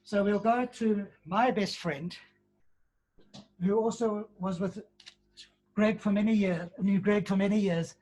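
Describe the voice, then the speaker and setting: A man in his sixties hosting a wake, introducing speakers in a halting, gentle way. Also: sits high, steady tone, low-quality recording. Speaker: host of a wake.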